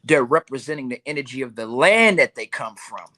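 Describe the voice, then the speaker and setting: A man's voice: medium pitch, expressive, bright, forceful tone. Laid-back man rambling on a video call. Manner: laid-back and rambling, with many ums and slow stretches. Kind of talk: casual podcast talk.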